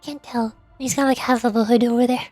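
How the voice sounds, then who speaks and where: A woman's voice: on the high side, steady tone, a little fast, a little mellow, faint music behind. A young woman doing casual livestream game commentary as a VTuber, playful, teasing and rambling.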